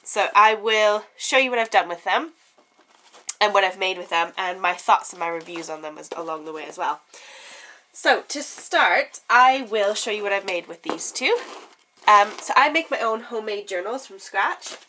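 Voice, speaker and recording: A young woman talks through her craft projects with a blocked, stuffy nose from a head cold. Her voice is bright, and she chats casually, full of little laughs and filler ums. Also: medium pitch, expressive, normal speed, a little hiss or hum, low-quality recording. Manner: chatty, giggly, lots of ums. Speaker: craft vlogger. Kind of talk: casual show-and-tell chat.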